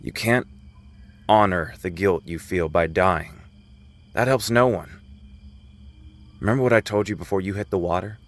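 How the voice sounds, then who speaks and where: An actor playing a dead young friend who appears as a hallucination in an audio drama, calm, frank and reassuring. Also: male voice, low voice, normal speed, pauses often, lightly acted. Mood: gentle, urging. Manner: steady, familiar, gently firm.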